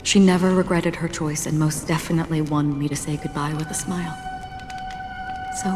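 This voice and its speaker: An actress voicing a melancholy, detached female wanderer in a game, reflective, stoic and softly subdued. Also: deep voice, normal speed, lightly acted. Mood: quiet grief. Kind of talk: dramatic game dialogue. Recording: long hall reverb, music behind. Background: music.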